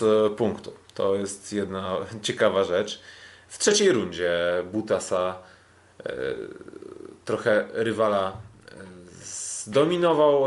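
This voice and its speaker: A man hosting a podcast, giving a casual sports recap in a halting way with frequent filled pauses. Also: on the low side. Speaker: podcast host.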